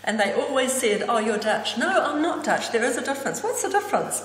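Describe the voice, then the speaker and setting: A woman's voice: a little high, very animated, fairly quick. A woman in her sixties with a New Zealand accent and Danish as her first language, telling personal memories to camera. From Auckland, New Zealand. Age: 60s. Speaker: interviewee. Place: indoors, hall-like room with hard surfaces. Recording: echoey reverberant room, clear background noise.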